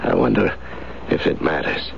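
An actor playing a gentle, remorseful hunted man in a radio drama, with a gravelly voice and a halting, earnest manner. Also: lightly acted. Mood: remorseful, resigned. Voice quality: deep, gravelly. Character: weary male fugitive, gentle, remorseful, hunted. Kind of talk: 1970s radio drama acting. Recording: dull, noisy 1970s radio recording.

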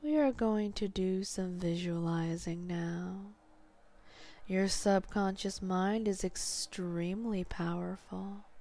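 A woman leading a sleep hypnosis session in a slow, unhurried voice. Her tone is mellow and soft, often slipping into a breathy near whisper as she guides the listener to relax. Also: on the low side. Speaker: hypnotherapist host.

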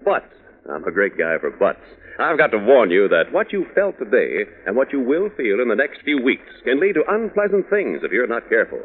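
Male radio pitchman, mid voice, very lively intonation, chummy and folksy, muffled vintage broadcast. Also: brisk. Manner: chummy, folksy, addressing youngsters. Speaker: radio announcer, sponsor pitchman. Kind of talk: 1940s radio commercial patter. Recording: muffled old radio recording.

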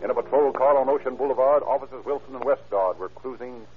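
A man's voice: medium pitch, quick pace, a little hiss or hum, natural voice. A man performing as a formal, dramatic narrator of an old radio crime drama. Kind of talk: scripted 1930s-40s radio narration. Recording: tinny old radio recording.

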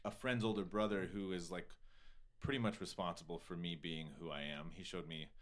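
A man's voice: deep voice, fairly even intonation, fairly quick, a bit bright. An American man hosting a casual podcast conversation, rambling and digressive, full of filler words and swearing. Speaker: podcast host.

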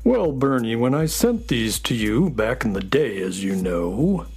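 Man voicing an animated, unhurried space horse. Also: medium pitch, breathy edge, soft background music, full character voice. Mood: reminiscing. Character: excitable, kindly, helpful space horse. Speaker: voice actor. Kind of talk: children's audio story character acting.

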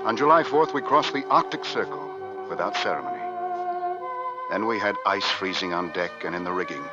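An actor playing a dutiful ship's navigator narrating an Arctic voyage in formal, dramatic old-time radio style. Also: male voice, low voice, animated, a little fast. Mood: grave, measured. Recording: dull vintage radio recording with music.

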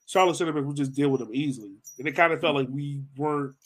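A man's voice: fairly high pitch, fast, slight background noise. A man talking casually as a soccer podcast host, informal and thinking aloud as he goes. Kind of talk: casual soccer post-match discussion.

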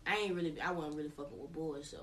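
Lower-voiced woman guest, rambling and blunt. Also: fast talker, a bit bright, recorded from a distance, a little hiss or hum. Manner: rambling, self-correcting, blunt. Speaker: podcast guest. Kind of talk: casual chat.

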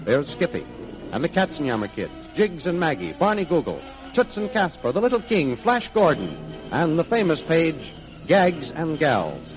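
Casting: male old-time radio announcer, higher voice, lively swooping emphasis, theatrical promotional read. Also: moderate pace. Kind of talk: 1930s radio announcing, dramatic scripted promotion. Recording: dull old radio recording. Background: loud music.

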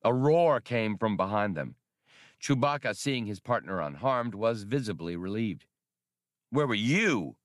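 A man's voice: fairly low pitch, expressive, normal speed, dry recording, studio quality. A man reading adventure fiction aloud as an audiobook narrator, in a dramatic scripted style. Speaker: audiobook reader.

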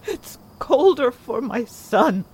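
A woman's voice: high-pitched, sing-song, takes it slowly, a little mellow, slight background noise, full character voice, rough recording. A woman playing a frantic, grieving elderly mother in a horror audio drama, with a dramatic, insistent delivery. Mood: mournful, subdued.

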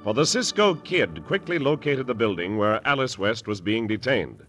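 A man's voice: medium pitch, expressive, moderate pace, fairly bright tone. A man narrating an old-time radio adventure as announcer, in a dramatic scripted style. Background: organ music.

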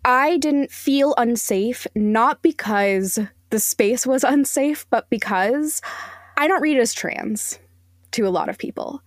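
A woman speaking as a podcast guest, giving opinions in an emphatic, deliberate way. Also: fairly high pitch, no room sound, studio quality. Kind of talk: opinionated discussion.

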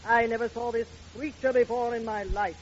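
A woman's voice: on the high side, fairly even intonation, normal speed, natural voice. An actress playing an outraged, imperious rich lady in a 1940s radio comedy. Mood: haughty outrage. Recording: dull, noisy old radio recording.

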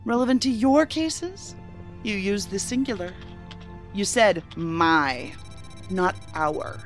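An actress playing a skeptical, sharp-tongued federal agent in a science fiction audio drama, blunt and challenging with a sardonic edge. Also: female voice, medium pitch, takes it slowly, lightly acted. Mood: challenging, impatient. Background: music under the voice.

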